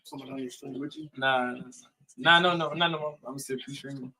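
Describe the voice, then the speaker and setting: A man's voice: medium pitch, steady tone, moderate pace, pushed and bright, low-quality recording. A man streaming a casual live reaction, talking in slangy, repeated phrases.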